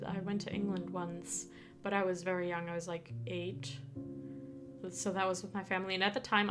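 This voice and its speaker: A young woman, a native Danish speaker, chatting casually with viewers on a live stream, giggling often and restarting her sentences. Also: medium pitch. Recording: streamed with guitar music behind. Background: guitar music.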